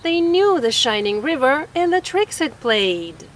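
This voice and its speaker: A woman reading a children's picture book aloud, expressive and deliberate, on a close mic. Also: high voice, a little hiss or hum. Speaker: children's story reader. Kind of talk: read-aloud storytelling.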